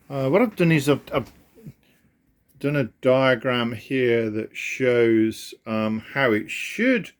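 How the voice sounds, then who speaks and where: A man giving an informal how-to explanation as a vlogger, talking through it step by step in a halting, hesitant way. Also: lowish voice, dry recording. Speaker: sailing vlogger.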